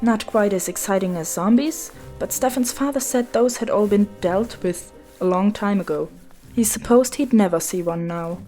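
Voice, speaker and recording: A woman's voice: mid-range voice, normal speed, mellow. A woman reading a children's story as its narrator, in a scripted storybook style. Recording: music behind the voice.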